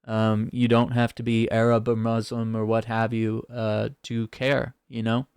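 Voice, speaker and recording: A man hosting casual political commentary, reacting to clips in a halting way and often repeating his words. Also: lowish voice, completely flat delivery, a little mellow, smooth, no room sound, clean studio sound.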